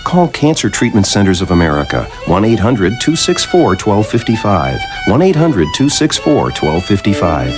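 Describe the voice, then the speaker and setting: A man's voice: on the low side, huge pitch swings, moderate pace, hardly any pauses. A man reading a scripted advertising tag as an announcer, with music behind him. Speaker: commercial announcer.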